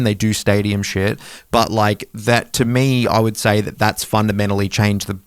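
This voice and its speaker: A man's voice: on the low side, smooth, dry recording. A man with an Australian accent chatting casually and informally as a podcast host, with some swearing. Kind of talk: casual chat.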